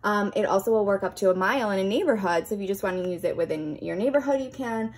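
A woman's voice: medium pitch, fast, darkish tone. A woman giving a casual, chatty product review, explaining features as she goes in an informal unboxing style.

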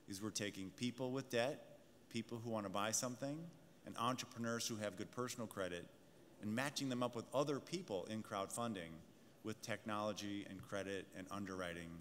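A man's voice: lowish voice, a little fast, fairly bright tone. Panelist, male, assured sales manner; table mic in a large hall with a faint hum behind. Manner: confident, salesy, addresses the audience. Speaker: fintech executive panelist. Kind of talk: conference panel talk. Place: large conference hall stage. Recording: hall reverb, faint room noise.

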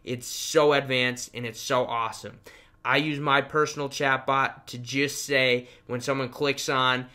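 A man's voice: mid-range voice, little pitch movement, unhurried, sharp tone. A young man hosting a casual screen-share tutorial, talking in an upbeat, emphatic way like a salesman. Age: young adult. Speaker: tutorial host and web design trainer.

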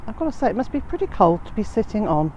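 A woman's voice: medium pitch, big pitch swings, fast, a little mellow, slight background noise. A woman in her fifties, slightly bunged up with a cold, chatting casually to a handheld phone outdoors in a frosty garden, with many "uh" fillers. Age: 50s. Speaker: homesteading vlogger. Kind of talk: casual walk-and-talk vlog. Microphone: handheld phone at arm's length.

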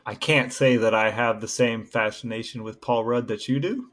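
A man's voice: mid-range voice, fairly even intonation, moderate pace, a bit bright. A man co-hosting a casual podcast, bantering and asking questions with dry, joking delivery. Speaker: podcast co-host.

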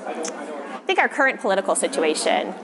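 An American woman in her thirties answers interview questions casually and thoughtfully. Her pitch moves around a lot, and clear outdoor city noise sits behind her. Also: very animated, moderate pace, a crowd in the background. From Texas, United States. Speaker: interviewee, machine learning researcher. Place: outdoor covered city walkway.

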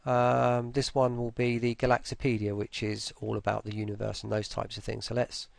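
A man presenting a software tutorial in a casual, informal way, thinking aloud as they go. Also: on the low side, monotone, normal speed, gravelly, no echo. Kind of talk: casual screen-recorded walkthrough.

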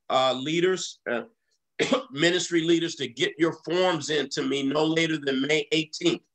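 A middle-aged man, a pastor, reading church announcements over a video call, pausing often with "uh" and "um". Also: a little high, a bit bright, rough recording. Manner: halting, many "uh" fillers.

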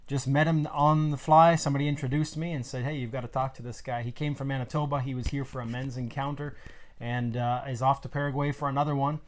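A man in his thirties hosts a podcast in a relaxed, chatty way. His voice sits at a middling pitch. He is recorded indoors in a small wood-paneled room. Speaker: podcast host. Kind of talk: casual conversational interview.

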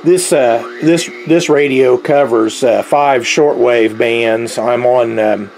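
A middle-aged man giving an unscripted, explanatory demonstration as a hobbyist, speaking haltingly with a gravelly voice. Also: medium pitch, darkish tone, a little hiss or hum, poor audio. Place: indoors, at a tabletop radio. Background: shortwave radio playing faintly.

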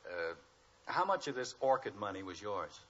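A man's voice: lowish voice, sing-song, moderate pace, fairly bright tone, breathy edge, exaggerated voice. An actor playing a wry, patient middle-aged American father in a 1950s radio sitcom, with dry, teasing delivery. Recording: dull old radio recording.

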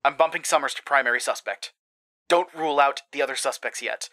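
An actor playing a driven, stern male investigator in an audio drama, with a measured, pressing delivery. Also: mid-range voice, pushed and bright, natural voice. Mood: grim, resolute.

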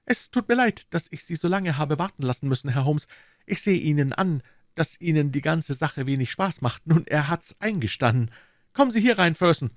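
A man's voice: fairly high pitch, expressive, moderate pace, no room sound, voice pushed up, natural voice. A puffed-up, condescending police inspector, put on by a male reader in a raised, pushed voice. He boasts and lectures as if every point were his own triumph. The recording is dry and close. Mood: smug. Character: pompous, self-satisfied male police inspector. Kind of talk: audiobook character voice.

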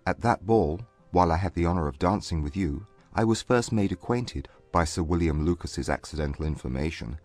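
An actor playing a proud, haughty young Regency gentleman in a dramatized audiobook, speaking in a formal, composed, measured way. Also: deep voice. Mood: measured explaining. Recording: dry close recording with music behind. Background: music.

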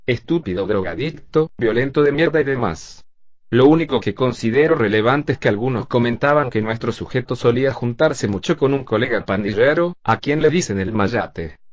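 A man's voice: medium pitch, lightly acted. A man playing a plain-spoken police detective in a crime drama, talking in a matter-of-fact way. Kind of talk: scripted machinima crime drama dialogue. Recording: dull lo-fi sound, faint music.

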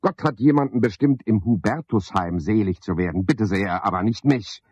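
An actor playing a garrulous, pompous, scheming porter in a radio play, wordy and self-important, speaking in rambling clipped phrases. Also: male voice, on the low side, moderate pace, a little mellow, breathy edge, dry recording. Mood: scornful, boastful. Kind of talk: radio play monologue, theatrical. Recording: dull 1970s radio play recording.

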